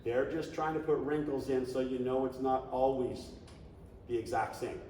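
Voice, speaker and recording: A man's voice: mid-range voice, normal speed, a little hiss or hum. Man coaching in an echoey hall. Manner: animated, conversational, shouts coaching calls. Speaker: flag football coach. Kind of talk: coaching clinic talk. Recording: echoey hall, distant mic.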